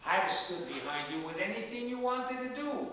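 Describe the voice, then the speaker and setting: A man's voice: high-pitched, lively intonation, brisk, bright, forceful tone. An actor playing a feisty, stubborn ninety-seven-year-old father who argues bluntly and emphatically in a stage play. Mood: exasperated, reproachful. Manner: emphatic, argumentative, repeats words for force. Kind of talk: one-act stage play dialogue. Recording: echoey hall from far off, faint noise.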